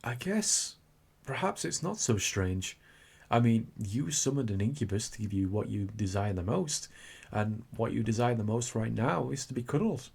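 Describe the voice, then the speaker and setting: A man's voice: mid-range voice, lively intonation, moderate pace, creaky, darkish tone, slightly rough. A man playing a suave, teasing incubus demon in a scripted ASMR roleplay, with theatrical delivery. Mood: apologetic, sympathetic. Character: seductive, cocky male incubus demon turning flustered and tender.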